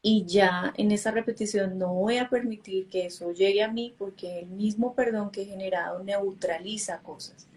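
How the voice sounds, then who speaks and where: A woman psychologist co-hosting a live online talk, calm and measured, reading out questions from the audience. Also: mid-range voice, breathy edge. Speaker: psychologist co-host. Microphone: video call.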